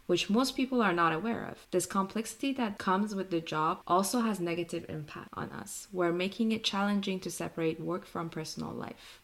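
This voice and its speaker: A woman co-hosting a podcast, reading a prepared script smoothly. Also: on the low side, a little fast, hardly any pauses. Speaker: podcast co-host. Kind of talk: scripted narration.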